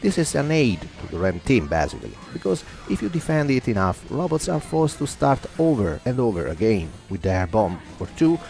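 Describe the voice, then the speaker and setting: A man's voice: fairly low pitch, very animated, normal speed, gravelly, few pauses, darkish tone, faint music behind. A man giving a formal, wordy voice-over commentary over his own gameplay video. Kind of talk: scripted voice-over narration.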